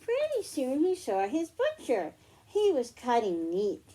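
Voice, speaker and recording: A woman with a high, mellow voice reads a story to young children. Her pitch moves in lively sweeps and her pace is unhurried. She explains things simply, as a storytime host.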